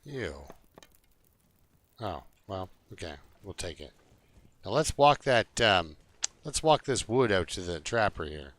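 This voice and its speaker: A man's voice: low-pitched, very animated, slow, pauses often, fairly bright tone. A man live streaming a video game, chatting casually and jokingly to his viewers in an easygoing, rambling way.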